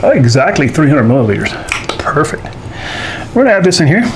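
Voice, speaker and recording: Guy doing casual home cooking show, kinda high voice, folksy jokes, noisy homemade audio. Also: very animated, a little fast. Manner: folksy, joking asides. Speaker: show host. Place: indoors at home kitchen.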